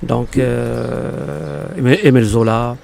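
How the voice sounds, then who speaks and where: A man in his fifties from Morocco, whose first language is Arabic, speaking slowly in an interview with many pauses and fillers. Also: mid-range voice, steady tone, vocal fry, a crowd in the background. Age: 50s. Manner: halting, many fillers and restarts. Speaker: interviewed poet. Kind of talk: hesitant conversational interview. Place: local podcast studio.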